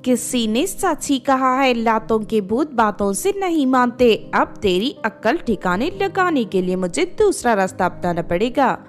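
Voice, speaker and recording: A woman's voice: on the high side, lively intonation, a little slow, hardly any pauses, faint music behind. A woman voicing a bold, stern good fairy with a commanding way of talking in a children's tale. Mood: resolute. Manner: commanding, scolding.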